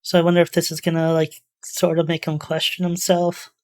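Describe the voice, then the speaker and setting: Female reaction host, slightly low voice, informal running commentary, thinking out loud in a tentative way. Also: monotone, brisk, soft background music. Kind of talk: casual off-the-cuff commentary.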